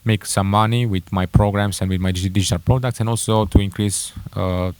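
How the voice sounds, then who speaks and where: Young man, Romanian accent, very low voice, dry close sound, halting speech with fillers, casual vlog talk. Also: fairly even intonation, darkish tone, clear, resonant tone, no room sound. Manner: halting, many fillers and self-corrections. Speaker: entrepreneur vlogger. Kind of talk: casual personal story to camera.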